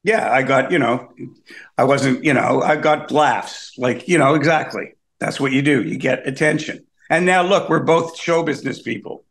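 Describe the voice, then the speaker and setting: A man's voice: medium pitch, moderate pace, fairly bright tone. Wry, grumbling Canadian man. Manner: wry, complaining storyteller. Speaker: comedian guest. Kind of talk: casual interview banter.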